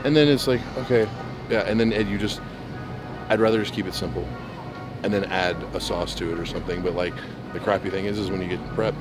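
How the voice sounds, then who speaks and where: Low-voiced young man rambling in a store. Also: fairly even intonation, fast talker, over music. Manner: rambling, repeats words while explaining. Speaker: bodybuilder showing his grocery run. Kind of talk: casual chat while shopping. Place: large indoor grocery store.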